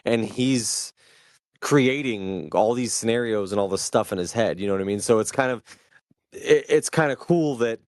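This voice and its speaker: A man's voice: lowish voice, moderate pace, a little mellow, dry recording, clean studio sound. A man co-hosting a podcast in casual, conversational talk, swearing freely. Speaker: podcast co-host. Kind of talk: casual chat.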